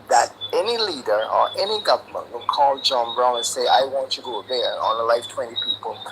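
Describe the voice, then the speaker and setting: A man of sixty-three with a Barbadian accent, speaking earnestly and emphatically as a caller to a live discussion. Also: mid-range voice. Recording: very noisy call with clicks.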